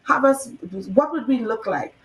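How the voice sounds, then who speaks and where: A woman from Trinidad and Tobago, an author talking casually in an interview, lively and laughing often, with many "you know"s. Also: high-pitched, normal speed, poor audio. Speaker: author guest.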